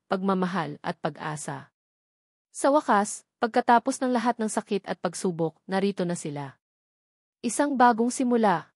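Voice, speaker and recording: A woman with a Filipino accent narrates a sentimental romance story from a script in an earnest, measured way. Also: mid-range voice, a little mellow, no room sound, studio quality.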